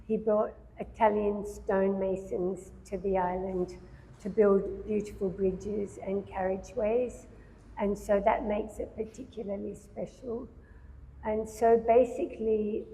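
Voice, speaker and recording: A soft-spoken woman, a painter talking about her work in a casual conversation at an art gallery, pausing often with fillers. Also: mid-range voice, a little hiss or hum. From Australia. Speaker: painter discussing her exhibition. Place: art gallery, large room. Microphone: handheld microphone. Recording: long reverb in a gallery hall.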